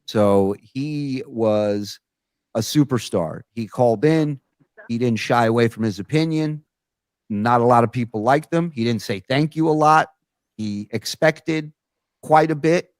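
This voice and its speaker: A man's voice: mid-range voice, unhurried, dry recording. A man hosting a casual talk show, telling stories in a blunt, profane way. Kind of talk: casual conversational storytelling.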